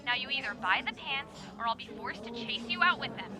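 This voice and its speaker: A woman's voice: on the high side, lively intonation, brisk, lightly acted. A woman playing a sassy, breezy young shop worker in an audiobook drama, with a casual manner. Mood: exasperated. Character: sassy, breezy young female friend working retail.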